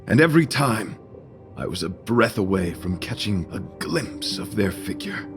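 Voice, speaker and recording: A man's voice: on the low side, animated, moderate pace. A man playing an earnest, courtly knight devoted to beauty in an English game dub, speaking in a formal, florid, theatrical and solemn way. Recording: music behind.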